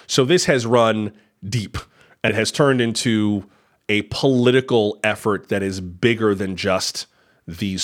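Male news host, somewhat low voice, casual skeptical commentary with dry asides. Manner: conversational, skeptical, wry asides.

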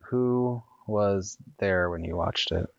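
Male podcast host, low and monotone, hesitant with fillers; dull, dry headset recording. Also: slow, vocal fry, dry recording. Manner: monotone, with many ums and uhs. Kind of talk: casual solo podcast talk. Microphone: USB desktop headset.

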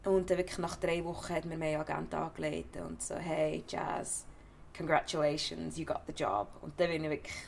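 A young woman with a Swiss German accent, an actress chatting casually as an interview guest, lively and laughing, sometimes dropping to a soft near-whisper. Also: low-pitched, normal speed, gravelly, a little hiss or hum. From Switzerland.

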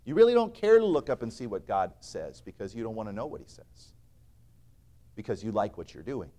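A man preaching a sermon in a church, earnest and emphatic, swinging between loud and soft, and reciting scripture in old-fashioned wording. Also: fairly low pitch, very animated, fairly quick.

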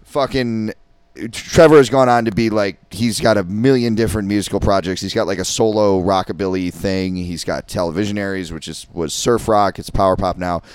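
Male podcast co-host, low, clean dry voice, rambling and profane. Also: steady tone, fairly quick, a little mellow, clear, resonant tone, no echo. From Rochester, New York. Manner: profane, rambling, enthusiastic about local bands. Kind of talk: casual chat.

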